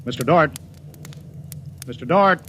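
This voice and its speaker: An actor playing a stern, wary starship captain with clipped, authoritative delivery in a 1950s radio drama. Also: male voice, on the high side, expressive, slow, bright, forceful tone, full character voice. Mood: commanding. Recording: vintage radio with music behind.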